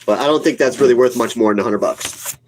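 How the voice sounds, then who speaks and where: A man's voice: mid-range voice, quick pace, slight background noise. A man of about forty with a cold, chatting casually on a livestream in a blunt, opinionated way and repeating himself for emphasis. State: sick with a cold, sniffling. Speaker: livestream host, retail investor. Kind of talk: casual livestream chat, answering viewer comments.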